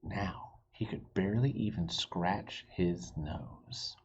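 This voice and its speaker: A man reads a children's storybook aloud expressively, pausing for simple asides and questions to young listeners. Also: deep voice, breathy edge, lo-fi sound. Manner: asks the listeners questions, explains words simply.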